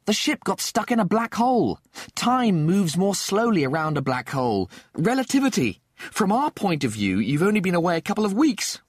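Whiny panicky spaceship crewman, way up high, swoops all over, sci-fi audiobook. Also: very animated, moderate pace, husky, no echo, studio quality, full character voice. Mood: agitated. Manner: exclamatory, incredulous, highly strung. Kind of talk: audiobook character acting.

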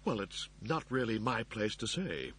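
Storybook mechanoid servant, male reader: anxious, apologetic, hesitant, unhurried delivery with lively intonation. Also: lowish voice. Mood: meek, apologetic. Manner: deferential, hesitant, halting.

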